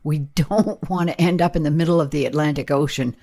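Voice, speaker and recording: A woman playing an excitable, practical camera operator in a radio play, talking in a casual, emphatic way. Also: low voice, a little fast. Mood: hesitant, resigned. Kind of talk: radio play, naturalistic dialogue.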